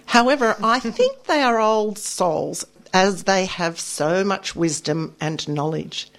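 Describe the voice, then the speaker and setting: A woman's voice: mid-range voice, slow. A middle-aged woman, hosting a radio program in a studio and speaking in a bubbly, effusive way. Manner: bubbly and effusive, with lively emphasis. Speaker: radio host.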